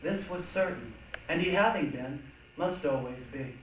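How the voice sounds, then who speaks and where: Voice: medium pitch, animated, normal speed. A stage reader reciting literary texts with emphatic, dramatic delivery in a reader's theatre performance. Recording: echoey hall from far off, faint noise.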